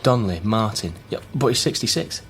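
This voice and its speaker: A man's voice: lowish voice, animated, brisk, a bit bright, a little hiss or hum. An actor playing an earnest man researching his family history in a naturalistic radio drama. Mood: worried.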